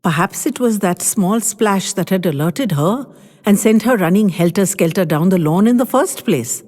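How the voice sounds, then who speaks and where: A woman narrating a family story in an expressive storybook manner. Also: mid-range voice, moderate pace, in a large hall.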